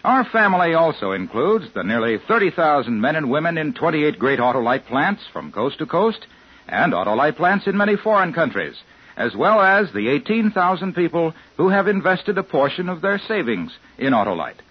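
A man's voice: a little high, very animated, normal speed, husky. A man working as a commercial announcer on 1950s radio, with a polished, declamatory sales delivery. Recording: dull 1950s radio recording.

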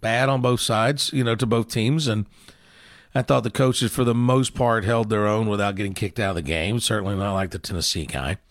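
A man's voice: on the low side, fairly even intonation, a little fast, no echo, clean studio sound. A man co-hosting a sports show in casual, folksy conversation, often trailing off into asides.

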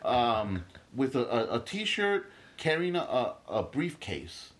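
A man's voice: mid-range voice, wildly swooping pitch, slow pace, a bit bright. A young man from Guatemala, a stand-up comedian talking emphatically as an interview guest, swearing freely and restarting his sentences. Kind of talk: casual podcast interview.

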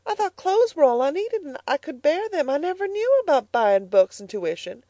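A woman reading the part of a proud, determined young farm girl, speaking high and earnest. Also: big pitch swings, moderate pace, mellow, no echo, highs cut off. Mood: pleading. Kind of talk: audiobook character voice.

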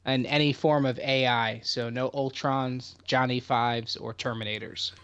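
A laid-back man hosting a relaxed conversation among friends. His pitch sits at an ordinary middle level. He talks easily and keeps handing the floor to the next person. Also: unhurried, lo-fi sound, crowd noise. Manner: laid-back, steers the turns. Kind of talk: casual chat.

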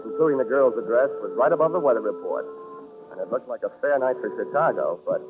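A man's voice: medium pitch, animated, fast talker, over music, close to an everyday voice. A man playing a self-assured, wisecracking American private investigator in a 1940s radio drama, dry and hard-boiled in delivery. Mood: dry, wry narration. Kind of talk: 1940s radio detective acting. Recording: noisy, narrow-band 1940s radio recording.

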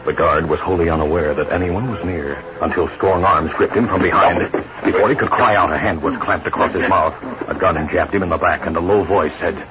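A man narrating a 1950s western radio drama in a dramatic, deliberate manner. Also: low-pitched, steady tone, heavy background noise. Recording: dull vintage radio recording with music.

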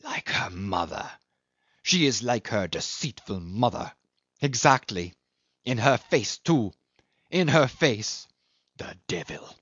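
A man's voice: lowish voice, very animated, a little slow, no echo, highs cut off. A man voicing a cringing, bitter old male schemer who whines coaxingly and breaks into cracked squeals.

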